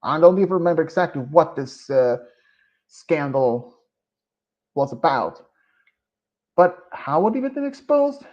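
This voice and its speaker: A Swedish-accented man chats to his stream in slow, deliberate English, his voice sitting a little high for a man. He stops often, filling the gaps with ums and uhs as he loses his thread. The lo-fi mic makes everything sound muffled and dull. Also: sing-song. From Sweden. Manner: hesitant and rambling, with many pauses and fillers. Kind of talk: casual live-stream chat.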